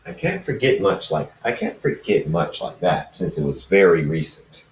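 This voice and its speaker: A man reading the part of a calm, gently teasing winged pony teacher in a fan fiction story, halting and formal. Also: low voice, voice pushed down. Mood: reassuring. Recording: roomy echo, distant from the mic.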